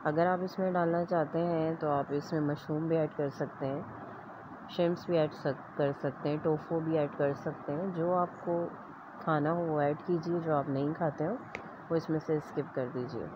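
A woman's voice: sits low, fairly even intonation, moderate pace. A woman giving a step-by-step recipe voice-over as host of a home cooking vlog. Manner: explanatory, step-by-step instruction. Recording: dull lo-fi audio with clear background noise.

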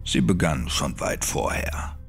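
A gloomy, fatalistic monk, played by a male narrator, speaks in a very low, gravelly hush. His delivery is slow and grave, like one resigned to the end, with music underneath in a horror audiobook. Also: lively intonation, full character voice. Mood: resigned, ominous. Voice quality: dark, hushed, breathy. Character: nameless monk, dark-voiced, resigned, gloomy keeper of secrets. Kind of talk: dramatic audiobook character acting.

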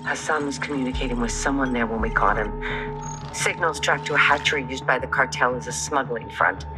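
An actor playing a focused covert intelligence officer, briefing matter-of-factly in a video game. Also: male voice, medium pitch, lightly acted. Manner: brisk, matter-of-fact briefing. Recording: loud music behind.

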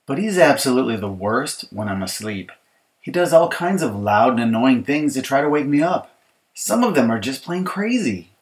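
Man voicing exasperated young girl, picture-book narration, mock-aggrieved, emphatic, medium pitch. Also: big pitch swings, normal speed. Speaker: author reading his audiobook. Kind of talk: children's picture-book storytelling.

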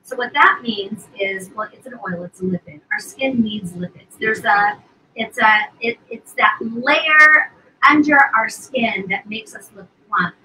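A woman in her fifties pitching skincare on a live stream, chatty, with plenty of fillers and restarts. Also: a little slow, sharp tone. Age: 50s. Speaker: co-host of a live sales stream. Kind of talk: casual live product pitch. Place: indoor studio set at a table. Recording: distant mic with faint hiss.

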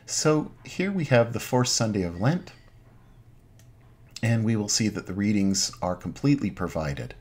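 A middle-aged man, an Anglican priest, giving an informal online sermon from his home den in measured, halting phrases. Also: lowish voice, expressive, a little mellow. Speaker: Anglican priest, parish pastor. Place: small home den with bookshelves.

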